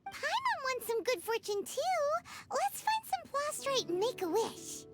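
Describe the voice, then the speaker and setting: A woman voicing a tiny, eager female fairy companion in a video game, with a very high, squeaky, bouncy voice. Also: big pitch swings, measured pace, heavily acted. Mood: cheerful. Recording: game audio with loud music.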